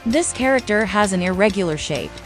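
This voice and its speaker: A woman's voice: mid-range voice, expressive, brisk. A woman reading scripted quiz narration as the video's host. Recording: voice-over under loud music. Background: loud music.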